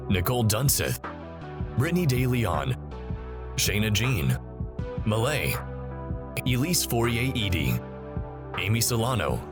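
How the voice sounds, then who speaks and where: Male credits announcer over music, expressive pitch. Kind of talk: scripted credits narration.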